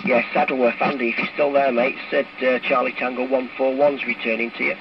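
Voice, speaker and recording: A man's voice: mid-range voice, flat delivery, fairly quick, vocal fry. A man relaying calls as an operator over a CB radio transmission. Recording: muffled CB radio heard through loud noise.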